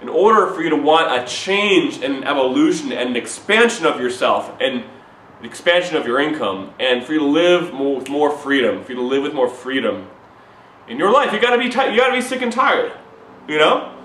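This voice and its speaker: A man giving an urgent, repetitive, preachy sales pitch straight to the camera. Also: expressive, fairly quick, fairly bright tone, echoey room, slight background noise, rough recording. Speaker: recruiting pitch to viewers.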